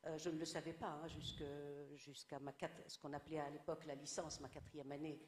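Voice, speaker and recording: A woman's voice: low voice, level tone, a little fast, echoey room, in a large hall. A woman from Tunisia whose first language is Arabic, speaking as a writer on a discussion panel, reflective and full of stories. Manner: reflective, storytelling. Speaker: guest writer.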